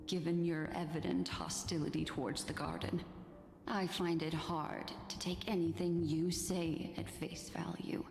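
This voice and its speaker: A composed, elegant woman from a video game, skeptical and formal. Her lowish voice moves slowly and carefully. It echoes as in a large hall, with music clearly behind. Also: flat delivery, a little mellow. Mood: cool doubt. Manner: measured, formal. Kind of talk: formal scripted game dialogue.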